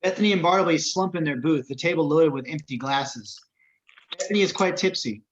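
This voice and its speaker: A man's voice: on the high side, fairly quick, slight background noise. A man reading out screenplay stage directions as narrator at a table read, on a slightly dull home recording.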